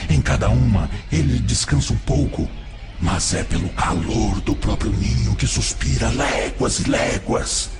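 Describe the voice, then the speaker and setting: A man's voice: lowish voice, measured pace, creaky. A man playing a sly, seductive predatory spirit who persuades with insinuating rhetoric in an audio drama. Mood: seductive, persuasive. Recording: loud music under the voice.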